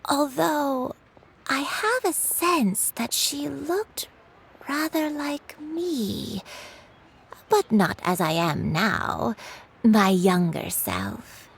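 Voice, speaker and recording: Slow, gravelly, forgetful game granny with a lively lilt; faint music. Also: female voice, high-pitched, exaggerated voice. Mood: dreamy. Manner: slow, wandering, musing. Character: forgetful old granny, gentle and dreamy. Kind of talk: video game voice acting. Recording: soft music behind.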